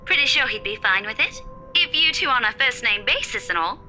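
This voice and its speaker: A woman's voice: a little high, animated, brisk, pushed and bright, soft background music. A woman playing a friendly, slightly awkward young teacher in a visual novel, speaking in a casual, clipped way. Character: breezy, friendly young female schoolteacher, a bit awkward.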